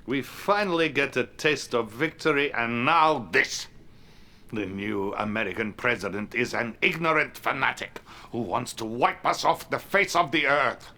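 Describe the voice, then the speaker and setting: An actor playing a shrewd, self-assured Soviet premier with a Russian accent, speaking in an authoritative, deliberate, theatrical manner in a video game cutscene. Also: male voice, medium pitch, bright, forceful tone. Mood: grim, resolute.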